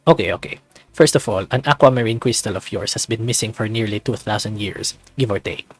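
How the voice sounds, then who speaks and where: A man voicing a soft-spoken, placating male creature scientist in a visual novel, in a low voice. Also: brisk, dry recording, close to an everyday voice. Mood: placating, earnest.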